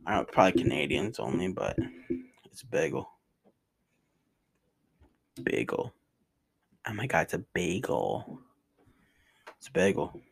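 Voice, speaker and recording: A man's voice: low voice, big pitch swings, unhurried, creaky, pauses often, husky. A man with a New York and New Jersey accent, rambling casually in slangy vlog chat from his room. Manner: slangy, rambling, says bro a lot. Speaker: vlogger. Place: bedroom in a rented cabin.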